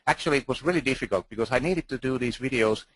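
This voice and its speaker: Finnish man, conference speaker, earnest and halting, dry close sound, mid pitch. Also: fairly bright tone, dry recording. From Finland. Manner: earnest, halting, with restarts and fillers. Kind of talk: presentation talk.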